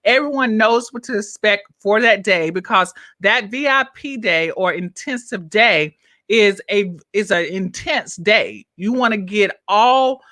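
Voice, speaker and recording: A woman coach talks straight to her live audience in a loose, chatty way. Her voice is bright and forceful. She keeps checking in with viewers as she teaches. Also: medium pitch, a little slow, no room sound, studio quality. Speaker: business coach. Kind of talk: casual live-streamed coaching talk. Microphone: own microphone, checked on her phone.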